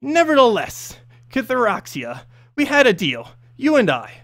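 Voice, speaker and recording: A man's voice: very high voice, wildly swooping pitch, moderate pace, fairly bright tone, no room sound, studio quality, voice pushed up, full character voice. A man voicing an exasperated, businesslike woman who summons demons in a fantasy story, firm and probing.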